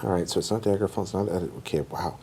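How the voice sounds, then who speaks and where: Lower-pitched man thinking aloud. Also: fast, warm tone, a little hiss or hum. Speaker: podcast panelist. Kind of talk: casual panel chat, guessing game.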